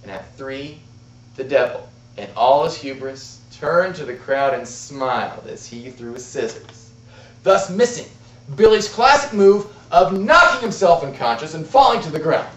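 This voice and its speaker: A man telling a tall tale to an audience as an amateur contest speaker, with dramatic, deliberate delivery and emphatic pauses. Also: fairly high pitch, lively intonation, fairly bright tone, slight background noise. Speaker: amateur storyteller in a speech contest. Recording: roomy, distant, muffled club-room recording.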